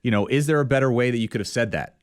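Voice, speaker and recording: A man co-hosting a podcast, asking questions in a relaxed, conversational way. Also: mid-range voice, rapid-fire, clean tone. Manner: relaxed, conversational, easygoing asides. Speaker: podcast co-host. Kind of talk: casual interview chat.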